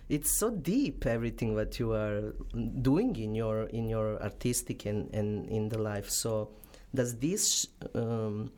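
A woman hosting an interview in a studio, speaking haltingly and searching for words with many fillers. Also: extremely low pitch, darkish tone. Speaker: podcast host.

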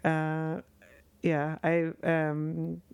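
A woman's voice: deep voice, completely flat delivery, extremely slow, a little mellow, smooth, dry recording. A woman interviewing casually, hesitant and searching for her words with many fillers. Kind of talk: casual conversation.

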